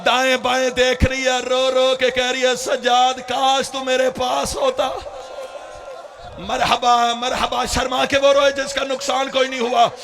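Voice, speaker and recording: Male mourning preacher, very high gravelly voice, wailing delivery. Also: completely flat delivery. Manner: impassioned, wailing, crying out to mourners. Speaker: religious orator. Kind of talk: mourning sermon recitation.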